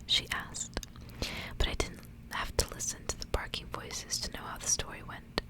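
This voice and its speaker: A woman reading a literary tale aloud for ASMR in a hushed, unvoiced whisper. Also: normal speed. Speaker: ASMR reader.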